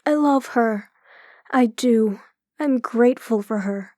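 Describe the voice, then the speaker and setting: An actress in an audio drama playing a gentle, troubled woman who speaks hesitantly and confidingly. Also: fairly high pitch, slow pace, dry recording, clean studio sound. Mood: sad, confiding. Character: gentle, troubled woman opening up to her brother.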